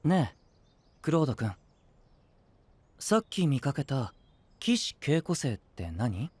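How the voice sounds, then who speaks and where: Soft, curious young anime knight. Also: male voice, mid-range voice, sing-song, slow pace, a little hiss or hum. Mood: calm, probing. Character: gentle, curious, polite young male knight from another kingdom. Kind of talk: anime voice drama acting.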